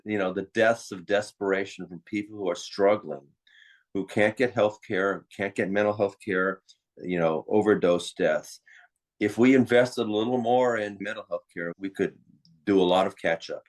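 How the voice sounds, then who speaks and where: An American man talking conversationally as an interview guest, explaining things in an opinionated way. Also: fairly low pitch. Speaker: interview guest, psychiatrist and author.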